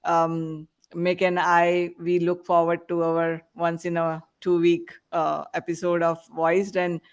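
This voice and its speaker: A woman hosting a panel podcast, talking with many pauses and fillers. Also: lowish voice, smooth. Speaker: podcast host. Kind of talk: casual panel chat.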